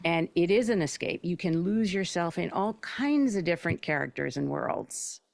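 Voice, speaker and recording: Creaky, lower-voiced woman performer. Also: expressive, normal speed, darkish tone, no echo. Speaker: audio drama performer on a panel. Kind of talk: personal, conversational panel talk.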